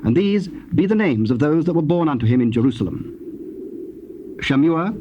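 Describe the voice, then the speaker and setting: Measured British male scripture narrator. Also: fairly high pitch, expressive. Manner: measured, formal. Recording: dull, faintly noisy old cassette.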